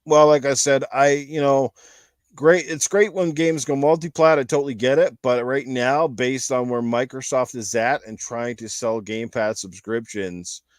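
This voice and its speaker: A man speaks as a podcast host in a casual discussion style. His medium-pitched voice rambles along and leans emphatically on its points. The sound is dry, with hardly any room to it. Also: steady tone, measured pace, no echo. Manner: rambling, emphatic, explains with analogies. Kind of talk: casual gaming talk show discussion.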